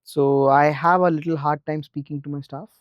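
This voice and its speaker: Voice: medium pitch, fairly even intonation, moderate pace, no echo, muffled recording. Podcast guest, dry sound. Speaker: food truck business co-founder, podcast guest. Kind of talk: casual chat.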